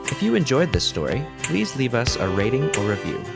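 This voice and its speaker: A man's voice: medium pitch, big pitch swings, brisk, vocal fry. A man reading a scripted podcast outro as the announcer. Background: prominent guitar music.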